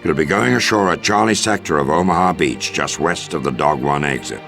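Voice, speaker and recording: Very deep-voiced American military commander. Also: male voice, creaky. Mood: grave, resolute. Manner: authoritative, measured, formal. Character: commanding older American military officer briefing a soldier. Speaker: briefing officer. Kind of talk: scripted video game mission briefing. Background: music.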